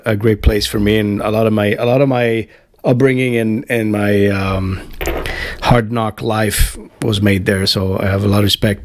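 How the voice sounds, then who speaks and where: Rambling middle-aged Canadian man, lower voice. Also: dry recording, studio quality. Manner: rambling, loose, many fillers and restarts, swears casually. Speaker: band vocalist hosting a web show. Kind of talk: casual chat to camera. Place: home, Florida.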